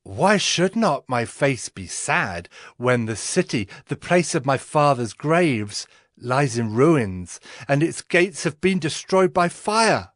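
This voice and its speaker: A man's voice: fairly high pitch, very animated, slow, fairly bright tone, no room sound, clean studio sound, exaggerated voice. A man playing a devout, earnest cupbearer in a dramatized audio Bible, solemn and reverent in his delivery. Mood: earnest, respectful. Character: Nehemiah, devout earnest cupbearer to an ancient king. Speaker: narrating lead in dramatized scripture. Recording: music behind the voice.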